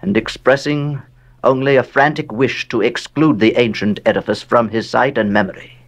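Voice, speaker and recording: A man acting an aging, brooding gentleman telling his own gothic tale in an audio drama. His voice sits at a medium pitch, and he speaks deliberately and gravely, letting phrases hang between weighty pauses. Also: close to an everyday voice. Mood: grave, ominous. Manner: measured, deliberate, solemn delivery with dramatic pauses. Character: old bereaved wealthy American man, brooding gothic narrator. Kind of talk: dramatic first-person horror narration.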